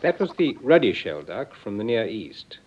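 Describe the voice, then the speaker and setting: A man giving measured, explanatory scripted narration for an educational record, heard on a vintage recording. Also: lowish voice, big pitch swings, a little mellow, a little hiss or hum. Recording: dull, band-limited vintage record.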